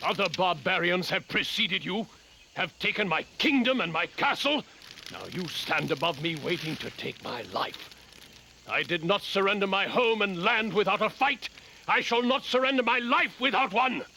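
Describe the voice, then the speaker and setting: A man voicing a proud, embattled king and father in an animated adventure, speaking in grave, formal oratory. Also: high-pitched, moderate pace, vocal fry, background noise. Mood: defiant. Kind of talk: animated adventure series acting.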